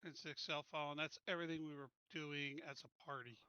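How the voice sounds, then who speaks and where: A man's voice: fairly high pitch, fairly even intonation, fast talker, bright, forceful tone, clean tone, dry recording, highs cut off. A man chatting casually and informally as a player in an online tabletop game. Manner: informal, often says "dude".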